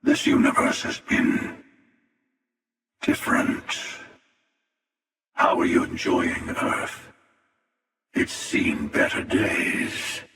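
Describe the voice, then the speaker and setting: An actor playing a cold, world-weary dimension-hopping armored villain in a video game, menacing and sardonic, with a gravelly voice in an echoing effect. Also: male voice, sits low, wildly swooping pitch, fairly bright tone, full character voice. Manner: menacing, sardonic, deliberate delivery. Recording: cavernous echo, long reverb, distant sound.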